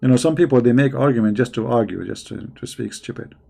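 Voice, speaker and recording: A man with a gravelly, creaky voice at an ordinary middle pitch hosts a live debate stream. He answers viewers in a combative, mocking way and often repeats words while he gropes for the next phrase. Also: fast talker, mellow. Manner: combative and mocking toward questioners. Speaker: religious debate host.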